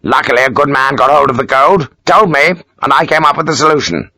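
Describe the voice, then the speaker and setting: A narrator putting on a gruff, pious old army major who barks his orders in short, clipped bursts. The voice sits raised and gravelly, swinging widely as he lectures. It is recorded dry and close. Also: mid-range voice, lively intonation, brisk, bright, forceful tone, no echo, pitched above the performer's own voice. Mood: lecturing. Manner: curt, barking, self-important. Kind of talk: audiobook character voice.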